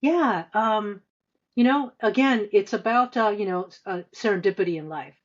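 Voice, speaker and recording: Halting woman, dull audio. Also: mid-range voice. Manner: halting, hesitant delivery with frequent stammered repeats and long filler runs. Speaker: podcast guest, senior finance executive. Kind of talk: conversational interview answers, career recollection.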